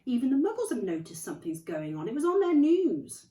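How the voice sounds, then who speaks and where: Stern, clipped older witch teacher, put on by a woman reading aloud, lifted high and lively, roomy recording. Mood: impatient. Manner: brisk, clipped and impatient. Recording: echoey room, distant mic.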